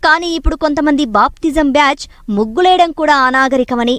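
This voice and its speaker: A woman's voice: high voice, quick pace, heavy background noise, natural voice. A woman voicing a proud, self-important female rangoli drawing that talks and explains in a comic Telugu cartoon. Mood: proud, explaining.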